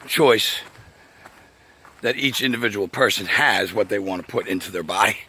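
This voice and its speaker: A man with a raspy voice talks casually to camera outdoors, rambling, swearing, and laughing often as he goes. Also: on the low side, bright, forceful tone. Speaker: vlogger. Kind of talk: casual outdoor video monologue. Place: outdoors by a path.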